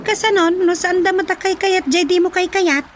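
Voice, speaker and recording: A woman's voice: very high-pitched, fairly even intonation, moderate pace, slight background noise. A woman with an Ilocano accent playing a touchy, dutiful, fretting village wife in a radio comedy drama. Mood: hurt, arguing.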